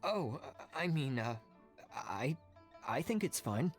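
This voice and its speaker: A timid clerk stammers slowly in a low voice. It is hesitant and easily flustered, in a game scene. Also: female voice, big pitch swings, faint music behind, exaggerated voice.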